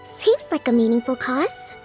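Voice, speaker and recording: A woman voicing a gracious, composed young noblewoman leader in an anime game, polite and measured. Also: sits high, very animated, darkish tone, faint music behind.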